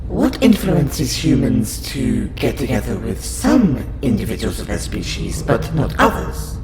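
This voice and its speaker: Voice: mid-range voice, huge pitch swings, unhurried. A performer with a woman's voice playing a courteous, curious alien scientist in an audio roleplay, questioning a human in formal, clinical wording. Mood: polite curiosity. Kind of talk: audio roleplay monologue to the listener. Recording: roomy echo under loud music.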